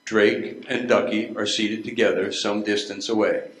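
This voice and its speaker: A man's voice: on the low side, flat delivery, measured pace, slight background noise. An older man reading scripted narration as the narrator of a readers theater play. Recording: echoey room.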